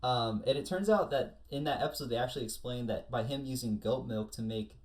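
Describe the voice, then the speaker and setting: A man's voice: mid-range voice, brisk. A man co-hosting a casual panel discussion, telling things in a chatty, informal way. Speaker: podcast co-host.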